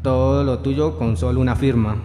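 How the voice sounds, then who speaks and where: A young man playing a sly devil disguised as a vagabond in a radio drama, measured, solemn and persuasive. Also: medium pitch, fairly even intonation, warm tone, a little hiss or hum, natural voice. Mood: tempting, solemn. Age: young adult. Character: sly devil in guise of vagabond, tempting, grandiose. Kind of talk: student radio drama reading. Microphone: shared handheld microphone. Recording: echoey hall-like room, music behind.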